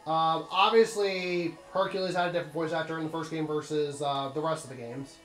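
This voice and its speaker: A man's voice: fairly high pitch, steady tone, brisk, fairly bright tone, echoey room, poor audio. A man doing casual gaming commentary, blunt and sweary, giving rambling opinions on a story. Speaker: let's play commentator.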